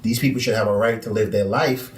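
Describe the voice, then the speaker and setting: A man's voice: on the low side, fairly even intonation, fast. Man co-host, earnest explainer, roomy and distant sound. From Jamaica. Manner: earnest, explaining, repeats words. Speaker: podcast co-host. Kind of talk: casual chat. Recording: echoey room, mic at a distance.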